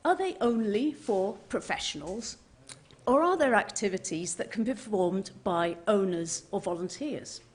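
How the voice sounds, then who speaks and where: A woman's voice: medium pitch, animated, normal speed. A woman from Wales, giving a prepared conference presentation with informal, friendly asides to the audience. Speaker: conference speaker, heritage official. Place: conference venue, speaking to an audience.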